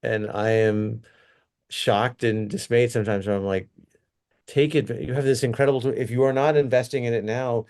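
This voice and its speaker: A man's voice: medium pitch, fairly even intonation, normal speed, darkish tone. A middle-aged man, a company executive, giving earnest, rambling interview answers full of restarts and fillers.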